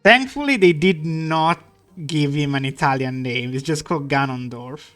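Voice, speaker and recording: A man's voice: a little high, normal speed, a bit bright, faint music behind. A man streaming live, chatting casually and rambling while he draws. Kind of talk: casual chat while drawing.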